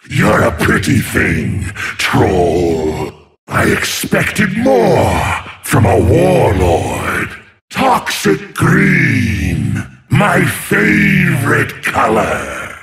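A man voicing a huge, arrogant sea monster in a video game, taunting his foes with sneering, mocking lines and shouted outbursts. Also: medium pitch, creaky, exaggerated voice.

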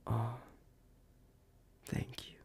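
A man voicing a possessive, doting alpha werewolf boyfriend in an ASMR roleplay, intimate and suave, slipping into whispers. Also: darkish tone. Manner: slow, intimate, partly whispered, suave and condescending. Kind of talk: ASMR romance roleplay acting.

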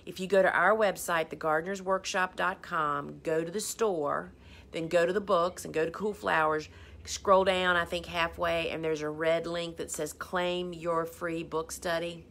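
Lady late fifties, lowish voice, chatting away on a live stream, kinda rambly and laughs at herself. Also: fairly even intonation, moderate pace, vocal fry, a bit bright. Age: 50s. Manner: chatty, rambling, self-deprecating. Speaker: host. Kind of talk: casual live stream answering viewer questions.

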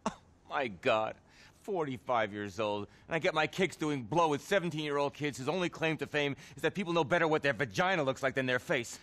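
Man acting a shrill, sarcastic, flamboyant club owner. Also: fairly quick, fairly bright tone, lightly acted. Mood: rueful. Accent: American. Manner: theatrical, bitingly sarcastic. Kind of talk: video game cutscene acting.

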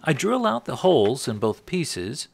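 A man's voice: mid-range voice, very animated, unhurried, creaky, a little hiss or hum. A middle-aged American man narrating a scripted woodworking tutorial in a wry, tongue-in-cheek manner. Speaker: host of a woodworking channel.

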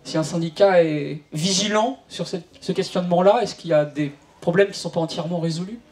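A man's voice: high-pitched, fairly quick, darkish tone. A man moderating a panel debate as a journalist, asking long, probing, winding questions into a handheld mic in a large tent. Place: large tent at an outdoor event. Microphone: handheld microphone.

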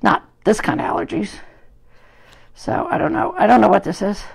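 A hoarse woman groomer with a gravelly voice, chatting to live-stream viewers while trimming a dog in a grooming salon, recorded on a phone. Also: mid-range voice, lively intonation, moderate pace. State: hoarse from an illness. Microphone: phone camera propped at the grooming table.

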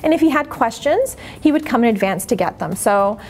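A woman in middle age speaks with a voice set a little high. She is earnest and articulate, like a teacher giving a measured interview. A lapel mic picks her up in a classroom with faint ambience behind her. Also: expressive, a little mellow, a little hiss or hum. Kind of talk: on-camera interview testimonial. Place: empty classroom, indoors.

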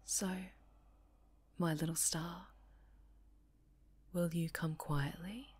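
A woman voicing an obsessive, possessive winged supervillainess in an ASMR roleplay, theatrical and breathy, often sinking into whispers, with menacing tenderness. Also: on the low side, steady tone, very slow, a little mellow, roomy sound. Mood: taunting, adoring.

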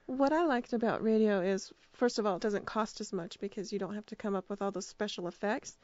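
A woman's voice: mid-range voice, a little fast, a little mellow, dry recording, muffled recording. A woman speaking as a radio drama producer and director, giving casual answers in an interview.

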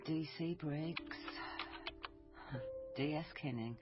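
Suspicious female investigator, radio drama, low voice, loud music behind. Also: takes it slowly. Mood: suspicious, insistent. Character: insistent, suspicious woman police investigator. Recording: dull sound under loud music.

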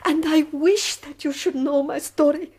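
An actress playing a proud, weary middle-aged revolutionary woman in a radio drama, speaking slowly and deliberately. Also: high-pitched, steady tone, darkish tone. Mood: bitter, grave. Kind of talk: BBC radio drama acting.

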